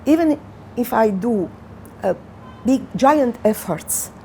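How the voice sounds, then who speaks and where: Woman, community center worker, slow and heartfelt, faint ambient hiss. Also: a little high, expressive, dark tone. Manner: slow, heartfelt, searching for words. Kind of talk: interview testimony.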